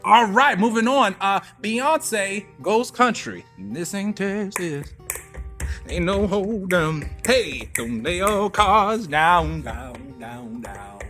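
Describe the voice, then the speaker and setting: An American man hosting a live show with slangy, animated banter that can break into sing-song. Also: high-pitched, slow pace, faint music behind. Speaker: radio show host. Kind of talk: lively hot-topics banter on a live show.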